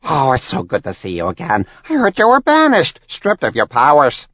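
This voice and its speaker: A man voicing an excitable, chatty male demon servant who speaks eagerly with a lively, swinging delivery. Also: a little high, moderate pace, no room sound, voice pushed up, full character voice. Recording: dull, dry lo-fi audiobook sound.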